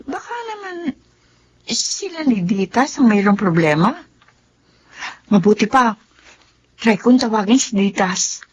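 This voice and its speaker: An actress playing a caring, curious young bride-to-be in a radio drama, gentle and inquiring. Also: female voice, mid-range voice, expressive, a little slow, long pauses, breathy edge. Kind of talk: Tagalog radio drama acting.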